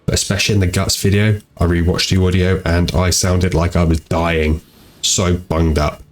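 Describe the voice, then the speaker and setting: A man's voice: low voice, little pitch movement, normal speed. A tired man with a blocked-up nose from a cold, chatting in an easygoing, rambling way as he hosts a gaming channel into a home microphone. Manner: easygoing and rambling, repeats "go ahead". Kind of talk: casual commentary over gameplay. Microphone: home desk microphone.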